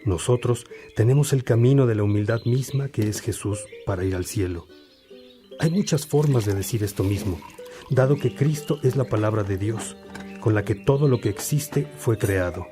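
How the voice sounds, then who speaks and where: A man's voice: on the low side, normal speed, music in the background, lightly acted. A man acting a devout, earnest churchman in a religious radio drama, speaking with solemn, reflective, formal phrasing. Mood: solemn, fraternal.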